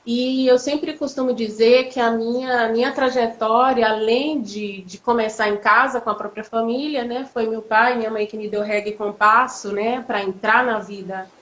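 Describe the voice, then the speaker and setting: A woman's voice: a little high, steady tone, moderate pace, few pauses, fairly bright tone. A woman, a law professor talking reflectively with many fillers and restarts in a live online interview. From Bahia, Brazil. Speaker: law professor, guest speaker. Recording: echoey, dull lo-fi audio.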